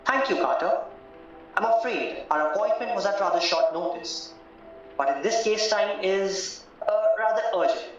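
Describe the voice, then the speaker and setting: A man acting a courteous, formal solicitor in a radio play, deliberate and a little hesitant. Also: sits high, lively intonation. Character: courteous, measured English male solicitor, formal and reassuring. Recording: roomy, distant, muffled, music underneath.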